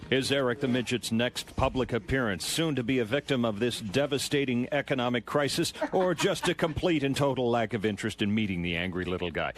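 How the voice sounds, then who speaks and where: A man's voice: medium pitch, a little fast, few pauses. A man with a mid-range voice delivers a scripted radio news report. His phrasing is formal and earnest, in classic newscaster manner.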